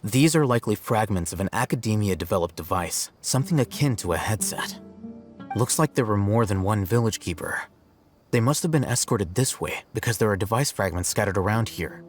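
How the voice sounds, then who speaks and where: An actor playing a composed, analytical scholar in a video game, measured and matter-of-fact. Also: male voice, lowish voice, animated, soft background music, lightly acted. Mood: calm, practical. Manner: measured, explanatory. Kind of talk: video game cutscene acting.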